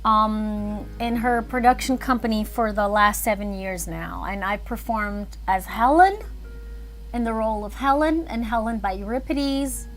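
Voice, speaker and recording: A woman's voice: fairly high pitch, unhurried, soft background music, rough recording. A woman chatting casually and thinking aloud as she hosts an animation livestream. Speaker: animator and filmmaker streaming live.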